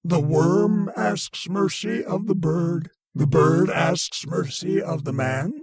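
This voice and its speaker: A man's voice: high voice, vocal fry, a little mellow, husky, full character voice. A man playing a cold, arrogant alien monster in a horror radio drama, menacing and commanding. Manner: slow, menacing, commanding repetition.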